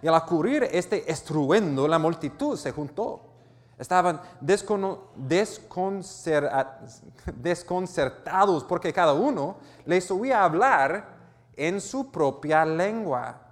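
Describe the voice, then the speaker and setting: Pastor with an American accent, slightly higher voice than most men, earnest, stumbling through some words, with a long hall echo. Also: male voice, lively intonation, slow. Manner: earnest, with restarts and self-corrections. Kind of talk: sermon, reading and explaining scripture.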